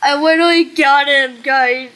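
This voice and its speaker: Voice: high voice, steady tone, moderate pace, bright, forceful tone. A child hosting a casual Roblox roleplay stream, playfully directing the make-believe. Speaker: host of a Roblox roleplay livestream. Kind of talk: casual game roleplay chat. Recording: noisy home recording, clicks.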